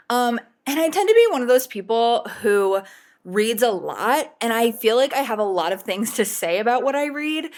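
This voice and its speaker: A woman with a slightly stuffy, cold-recovering voice, talking casually as a book review vlogger in a chatty, self-deprecating way. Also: on the high side.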